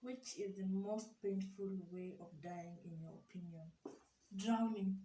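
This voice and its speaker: A young woman chatting casually with her sister, lively and animated as she tells stories. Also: mid-range voice, a little slow. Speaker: vlogger's sister. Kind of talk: casual sibling tag chat. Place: small bare room indoors. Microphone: camera across the room. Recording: echoey room, distant mic, faint hiss.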